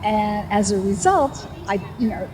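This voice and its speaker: A woman's voice: mid-range voice, expressive, unhurried, music in the background. A woman telling a personal account in an interview. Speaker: cancer survivor interviewee. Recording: clear vehicle noise behind.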